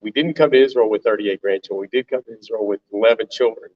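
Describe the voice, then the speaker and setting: An older man from Tennessee, co-hosting a live talk and speaking haltingly with frequent fillers. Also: fairly low pitch. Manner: halting, with many fillers and restarts. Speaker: co-host, volunteer organization leader. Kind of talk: live panel talk to an audience. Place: stage before an audience. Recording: distant, dull live-event sound.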